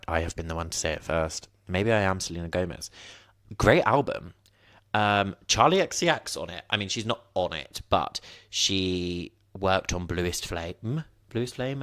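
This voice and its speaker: A young man in his twenties hosts a solo podcast from home in a rambling, self-mocking way. His voice sits very low, and the sound is close and dry with no room around it. A sniffly nose runs through his talk. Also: fairly bright tone, dry recording. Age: 20s. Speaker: podcast host. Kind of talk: casual solo podcast chat. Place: at home, indoors.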